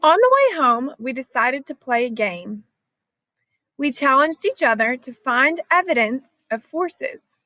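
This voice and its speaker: A woman with a fairly high voice reads a children's storybook aloud. Her narration is scripted, expressive and clearly phrased. The recording is fairly dry, with little room sound. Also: dry recording. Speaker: storybook reader.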